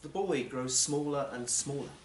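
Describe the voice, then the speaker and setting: A man reading a short story aloud at a live event, with measured, deliberate, dramatic phrasing. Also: mid-range voice. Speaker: actor and audiobook reader. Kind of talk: literary story reading, scripted narration. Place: performance space in a library, live event. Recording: roomy live recording with faint noise.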